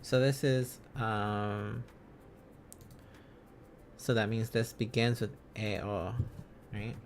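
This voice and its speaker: A man hosting an informal language-learning livestream, thinking aloud in a halting, casual, self-correcting way. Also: on the low side, fairly even intonation, a little hiss or hum. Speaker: livestream host learning Korean along with viewers.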